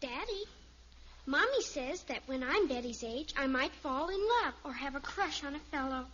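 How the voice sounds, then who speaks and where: A woman's voice: high-pitched, lively intonation, moderate pace, fairly bright tone. An actress playing an eager, candid, inquisitive little girl in a 1950s radio sitcom. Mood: wide-eyed, curious. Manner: wide-eyed, candid questioning. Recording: muffled old radio recording, faint hiss.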